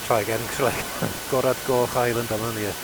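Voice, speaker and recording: An older man with a Welsh accent narrates a motorbike outing through a helmet mic. He talks casually and halts on fillers, with an average-pitched voice. A constant rush of wind and engine noise sits under everything he says. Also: fairly even intonation. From Wales. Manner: chatty, grumbling asides, halting with fillers. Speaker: motorbike vlogger. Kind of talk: casual ride commentary.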